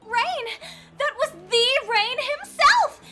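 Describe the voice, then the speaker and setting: A woman playing an excitable, dramatic teenage fangirl who gushes playfully, in an audio drama. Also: extremely high pitch, slow pace, faint music behind, full character voice. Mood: starstruck.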